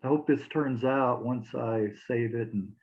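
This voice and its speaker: A man's voice: medium pitch, unhurried, dull audio. A man interviewing a guest over a call, talking hesitantly with many fillers and restarts. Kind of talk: casual interview chat.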